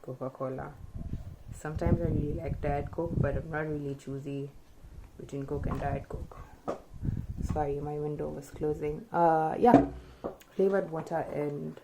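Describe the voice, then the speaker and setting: A young woman vlogger from Kenya answering tag questions in relaxed, chatty talk, often thinking aloud, recorded by a camera in front of her in a small room. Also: sits low, very noisy. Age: young adult. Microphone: camera in front at close range.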